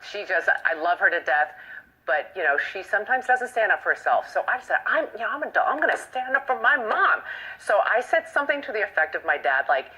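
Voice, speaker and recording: A woman in her late twenties co-hosts a talk show with a crisp, bright tone. Her pitch moves freely as she tells stories with playful animation. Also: very animated, a little fast, poor audio. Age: late 20s. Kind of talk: lively panel chat and segment intros.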